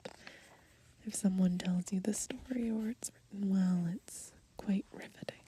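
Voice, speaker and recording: A woman softly murmuring ASMR commentary as she browses a book, breathy and gentle, outdoors. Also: medium pitch, measured pace, a little hiss or hum. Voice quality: breathy, whispery. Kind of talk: ASMR, soft murmured commentary and title reading. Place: outdoors on grass.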